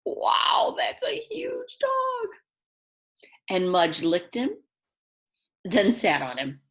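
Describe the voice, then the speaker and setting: Animated woman reading aloud to young children. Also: medium pitch, drawn-out words. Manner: expressive, addresses young children directly, simple explanations. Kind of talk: children's read-aloud with chatty asides.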